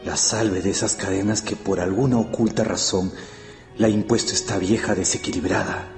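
Man acting as Felipe, deep-voiced brooding young lover. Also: fairly even intonation, moderate pace, a little mellow, lightly acted. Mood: troubled wondering. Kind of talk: radio drama acting, interior monologue. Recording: music bed under the voice.

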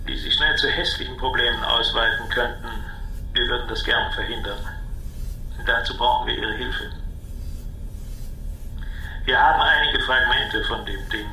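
A man playing an impatient, menacing official in a radio drama, with a sharp, commanding manner. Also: mid-range voice, reverberant, slight background noise, rough recording. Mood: cool menace. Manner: sharp, commanding, clipped authority. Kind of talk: German radio drama acting.

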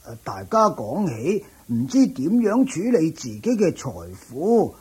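A man playing a respectful, troubled elderly devotee seeking advice in a Cantonese radio drama, halting and sighing, with a Hong Kong accent. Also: a little high, huge pitch swings, a little mellow, husky, heavily acted. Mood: respectful, worried.

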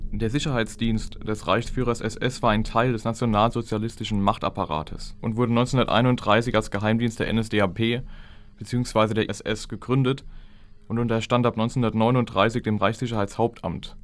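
A male narrator delivers scripted, factual documentary text. His voice sits fairly low. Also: fairly even intonation, fairly quick.

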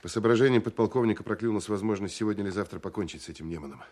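An actor playing a tough, blunt and weary Soviet counterintelligence officer in a Russian radio drama, talking in a terse, gruff way. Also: male voice, on the low side, racing, darkish tone, close to an everyday voice. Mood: matter-of-fact.

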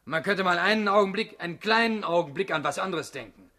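A man's voice: high voice, fast talker, pushed and bright. An actor in a German radio drama playing a proud, resentful working-class young man who talks bluntly and needles others.

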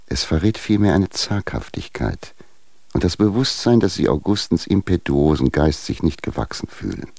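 Admonishing patriarch, dark mellow timbre, rock-bottom pitch, measured formal radio drama reading. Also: male voice, gravelly, no room sound, full character voice. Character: stern, formal patriarch admonishing a younger husband. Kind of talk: German radio drama, literary letter reading.

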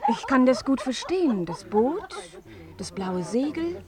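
A gentle young lady in a radio play, wistful and quietly fascinated. She speaks slowly in a high, lilting voice. A crowd murmurs behind her. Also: very animated. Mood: dreamy, fascinated. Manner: soft, wistful, unhurried. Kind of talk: German radio play acting.

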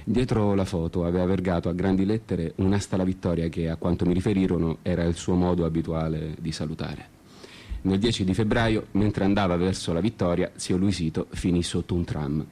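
A man narrating a scripted first-person story in an ironic, disenchanted, deliberately repetitive way. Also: low voice, fairly even intonation, warm tone. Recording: prominent music under the voice. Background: plucked guitar music.

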